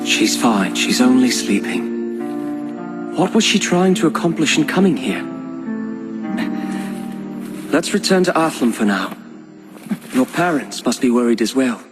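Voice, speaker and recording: A man's voice: mid-range voice, sing-song, unhurried, long pauses, over music. A man playing an earnest, modest young nobleman in a video game, speaking formally and courteously. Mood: calm concern. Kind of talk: dramatic video game voice acting.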